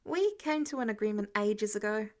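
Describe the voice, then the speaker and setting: A woman's voice: mid-range voice, animated, normal speed, natural voice. A woman voicing an earnest, slightly awkward teenage boy with an understated manner in a story reading. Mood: nonchalant.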